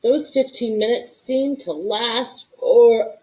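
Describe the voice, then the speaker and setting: A woman reading a children's story aloud in an expressive narration, with asides to young listeners. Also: on the high side, unhurried, rough recording. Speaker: storybook reader.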